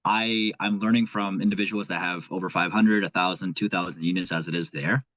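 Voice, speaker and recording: A young man with a Nepali accent, talking as a guest in an earnest, thinking-aloud way. His voice sits fairly low. The sound is close and dry. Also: steady tone, a little fast, a bit bright, no echo. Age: young adult. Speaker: guest, aspiring investor. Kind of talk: casual conversation.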